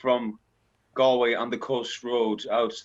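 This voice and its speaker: An actor talking casually in an interview, relaxed and self-deprecating. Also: male voice, medium pitch, slow pace, lo-fi sound.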